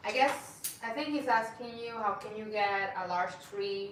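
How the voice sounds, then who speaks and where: A woman's voice: mid-range voice, brisk, slight background noise. A woman co-hosting a live stream, reading out viewer comments in a friendly, hesitant way with many ums. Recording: echoey room, far from mic.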